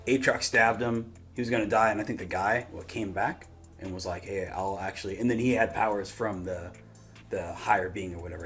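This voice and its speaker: A man with a fairly low voice talks through his reactions as a show host. He rambles informally and works things out aloud, swearing freely, with faint music playing underneath. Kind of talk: casual reaction discussion.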